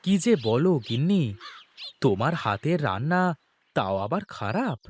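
A man voicing a humble, sentimental village boatman with colloquial rural banter in an animated folk tale. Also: mid-range voice, normal speed, slight background noise, natural voice. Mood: affectionate. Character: cheerful humble village boatman, doting husband.

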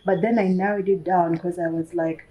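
Candid young Ugandan woman, mellow voice. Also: lowish voice, steady tone, normal speed, low-quality recording. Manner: talkative, candid, emphatic repetition. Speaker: podcast guest. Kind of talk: casual personal storytelling. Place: small room with a sofa. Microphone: podcast microphone on boom arm.

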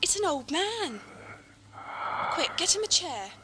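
A woman's voice: very high voice, big pitch swings, takes it slowly, heavily acted. A woman playing an anxious, forthright young space traveller in a science-fiction audio drama. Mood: alarmed. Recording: amateur 1980s drama tape with music.

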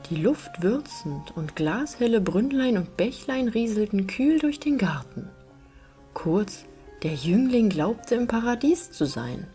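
A woman reading a fairy tale as a relaxing audiobook, in a gentle, measured storytelling manner. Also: medium pitch. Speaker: narrator, audiobook reader. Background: music behind the voice.

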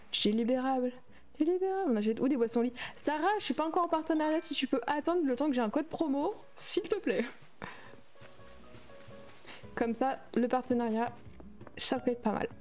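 A woman's voice: sits high, a little mellow. Chatty young woman streamer. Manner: chatty, reads and answers viewer chat aloud. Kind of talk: casual livestream chat while playing a game.

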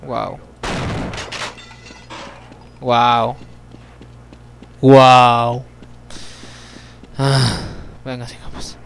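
A young man giving chatty gameplay commentary, talking to himself, swearing at the game and breaking into sudden yells. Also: mid-range voice, little pitch movement, drawn-out words, vocal fry, long pauses, a little hiss or hum. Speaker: let's play gamer.

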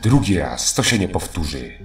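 A man's voice: deep voice, sing-song, normal speed, reverberant, recorded from a distance, faint music behind. A man voicing a menacing, scornful monster who schemes to rule over mortals, in an audio horror drama. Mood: sinister, scornful.

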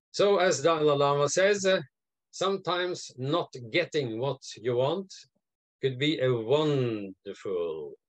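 A man's voice: medium pitch, dry recording, rough recording. An older man from Norway telling a story on a video call, hesitating with fillers and restarts. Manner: hesitant, many fillers and restarts, lively retelling. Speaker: guest, advisor and mentor. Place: home room, indoors.

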